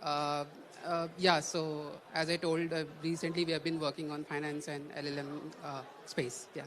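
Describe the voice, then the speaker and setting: A man's voice: fairly high pitch, flat delivery, moderate pace, fairly bright tone, poor audio. A man introducing himself on a conference panel, stumbling and repeating words. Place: conference stage.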